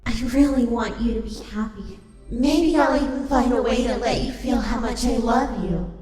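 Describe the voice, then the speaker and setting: A woman's voice: a little high, normal speed, close to an everyday voice. A woman voicing a tender, earnest young female pony with a secret, in a fantasy audio drama, over music. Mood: tender, wistful. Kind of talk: audio drama character acting.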